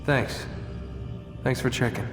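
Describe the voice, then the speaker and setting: An actor playing a frustrated, defiant young prisoner in a video game, talking casually and sarcastically. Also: male voice, slow, natural voice. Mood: subdued. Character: defiant, frustrated young male American captive. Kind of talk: naturalistic video game cutscene acting. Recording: echoey hall-like room, faint music.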